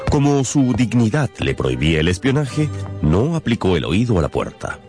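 Deep-voiced, very animated male narrator. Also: normal speed. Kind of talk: scripted literary narration for radio drama. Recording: music behind the voice.

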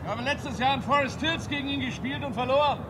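A man's voice: very high-pitched, fast talker. An actor playing a blunt, self-assured male tennis champion in a radio play. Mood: blunt, encouraging. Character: confident, blunt male tennis champion, easygoing park player. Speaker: tennis player. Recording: distant, noisy outdoor sound.

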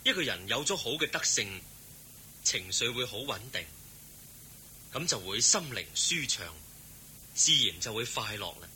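A serene male holy teacher in a Cantonese radio play from Hong Kong. His voice is low and very bright, with lively intonation, composed and kindly as he instructs. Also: slight background noise, full character voice, rough recording. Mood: gently instructive. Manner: composed, measured, gently instructive. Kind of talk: radio drama acting.

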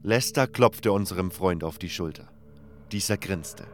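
A man with a fairly low voice narrates a fantasy adventure as a scripted first-person story. Faint music plays behind him. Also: unhurried.

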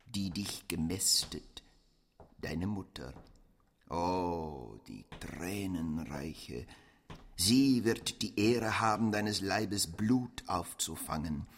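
An actor playing a solemn narrator who recites poetic lines with drawn-out, priestly reverence in a radio play. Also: male voice, low-pitched, animated, in a large hall, slight background noise, full character voice. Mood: solemn rapture.

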